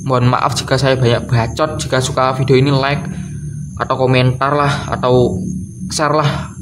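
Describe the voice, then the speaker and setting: Exasperated young man, halting. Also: mid-range voice, flat delivery, music in the background. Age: early 20s. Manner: exasperated, halting, repeats phrases, slips in English swearing. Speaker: video creator. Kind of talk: casual comedic rant to camera. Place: doorway at home by a wooden door. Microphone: camera or phone close in front.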